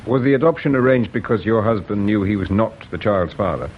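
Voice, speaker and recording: An actor with an English accent playing a polite, patient, shrewd police inspector in a British radio drama, measured and courteous. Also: male voice, lowish voice, lightly acted. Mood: grave, insistent. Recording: dull radio drama recording with hiss.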